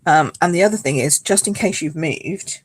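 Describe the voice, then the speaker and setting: A British woman with a croaky voice, hosting a live card-making class from home, chatting and greeting viewers by name as she works. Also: low-pitched, normal speed. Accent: British English. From United Kingdom. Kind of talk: casual live craft demo, chatting with viewers. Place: home craft space.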